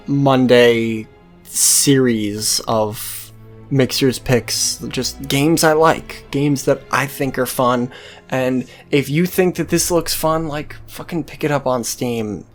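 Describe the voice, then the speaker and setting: A let's-play host with a medium-pitched voice talks slowly and casually over faint game music. The delivery rambles and hesitates, with plenty of swearing mixed in. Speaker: host of a gameplay series.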